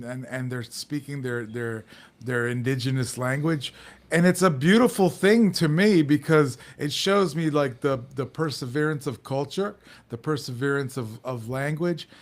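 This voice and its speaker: Earnest halting man in casual talk. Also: mid-range voice. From United States. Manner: halting, many restarts and fillers, earnest. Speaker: podcast co-host. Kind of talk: casual community talk show chat.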